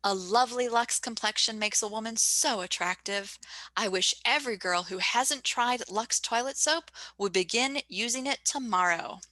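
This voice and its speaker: A woman's voice: fairly high pitch, normal speed, sharp tone, no echo. A woman playing a chatty, gushing Hollywood reporter delivering 1940s-style radio advertising patter. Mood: gushing, friendly.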